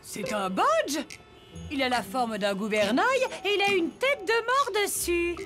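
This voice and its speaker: A woman's voice: extremely high pitch, big pitch swings, measured pace, over music, full character voice. A woman playing an inquisitive sidekick to a detective in a children's cartoon. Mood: surprised. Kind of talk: animated children's cartoon dialogue.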